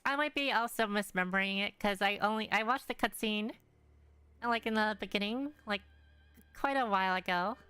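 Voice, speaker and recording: Bright-voiced woman musing over a game. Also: medium pitch, a little fast, clean tone, no room sound, faint music behind. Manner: hesitant, rambling, musing. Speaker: game streamer. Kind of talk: casual game commentary, thinking aloud.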